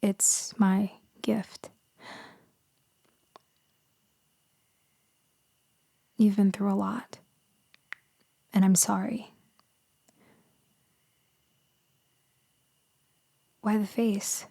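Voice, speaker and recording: A woman playing a gentle, melancholy immortal female vampire in a sleep ASMR roleplay, speaking softly and intimately, often slipping into a whisper. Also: medium pitch, little pitch movement, dry recording. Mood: soft concern. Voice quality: soft, breathy, often whispering. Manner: slow, hushed, wistful, intimate.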